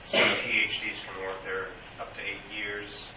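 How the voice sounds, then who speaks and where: A man's voice: fairly low pitch, monotone, normal speed. A man in the audience asking a detailed question at a meeting, in a wry manner. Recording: distant in an echoey room, noisy lo-fi.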